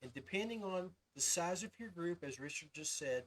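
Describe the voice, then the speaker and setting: A man with a notably high-pitched voice hosts a casual podcast conversation. He talks in an informal, folksy way, like someone chatting with friends. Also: expressive, normal speed, fairly bright tone, rough recording. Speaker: podcast host. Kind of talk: casual interview chat.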